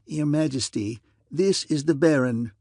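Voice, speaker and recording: A man's voice: fairly high pitch, measured pace, mellow, dry recording, studio quality. A man voicing a flustered, officious male courtier with a nasal, adenoidal voice. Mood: flustered, breathless.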